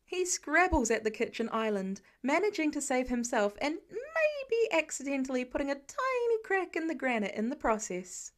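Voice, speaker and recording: A woman's voice: high-pitched, very animated, normal speed, few pauses. A woman reading a story aloud as scripted narration. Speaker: podfic narrator.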